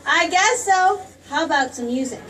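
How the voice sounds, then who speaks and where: A woman's voice: very high-pitched, lively intonation, normal speed, background noise. An actress playing a spirited, teasing young small-town woman in an old-time radio play. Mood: coy. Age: young adult. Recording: distant stage mic.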